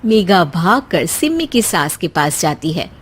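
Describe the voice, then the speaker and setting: A woman's voice: fairly low pitch, sing-song, unhurried, slightly rough. A woman giving scripted narration as the narrator of a family story.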